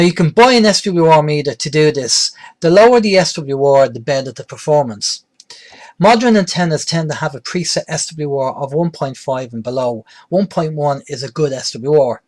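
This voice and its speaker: Higher-pitched man giving a practical tutorial. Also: expressive, normal speed, a little mellow. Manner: plain-spoken, explanatory. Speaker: presenter, radio tutorial host. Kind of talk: instructional tutorial narration.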